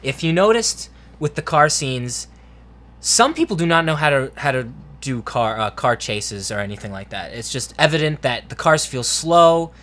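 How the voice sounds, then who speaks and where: Guy doing a casual review chat, mid pitch, informal and into it. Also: lively intonation, moderate pace, fairly bright tone. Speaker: film review show co-host.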